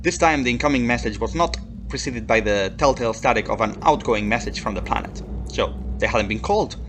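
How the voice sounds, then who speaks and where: A man giving an emphatic, expressive dramatic reading of a dark science fiction horror story as its narrator. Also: mid-range voice, fairly quick. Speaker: narrator reading his own short story. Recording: faint background noise and ambience. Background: atmospheric background music.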